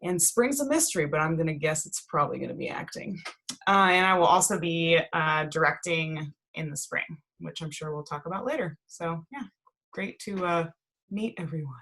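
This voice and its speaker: A woman's voice: low voice, animated, moderate pace, a bit bright. A woman doctoral student introducing herself in a friendly, casual way on a video call. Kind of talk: self-introduction.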